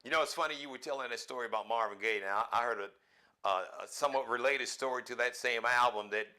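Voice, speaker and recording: Bright-toned male radio host. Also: medium pitch, fast. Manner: affirming, conversational. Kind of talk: interview host chat.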